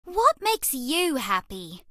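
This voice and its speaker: Casting: eager, quirky young alien mouse girl for a game, sweet-natured, with very lively intonation and a close, dry sound. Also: high-pitched, slow, no room sound, full character voice. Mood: shy, affectionate. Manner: bubbly, earnest, quirky, affectionate. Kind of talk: dating game character voice lines.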